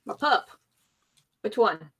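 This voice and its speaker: A woman playing a tabletop roleplay game, talking casually through her character's moves. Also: takes it slowly.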